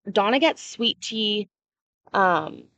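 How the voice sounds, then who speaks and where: A woman's voice: medium pitch, lively intonation, takes it slowly, fairly bright tone, no room sound. A young woman hosting a casual livestream, chatting freely and answering viewers' comments as they come in. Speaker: livestream host.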